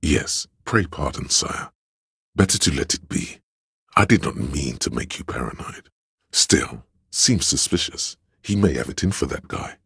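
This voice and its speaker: A man's voice: expressive, unhurried, a bit bright, dry recording, studio quality, full character voice. An actor playing a theatrical inner voice in a video game, a courtly, scheming and obsequious adviser who speaks grandly in archaic phrases to his liege. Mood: grandly deferential. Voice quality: gravelly, husky bass. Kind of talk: scripted game voice lines, archaic courtly speech.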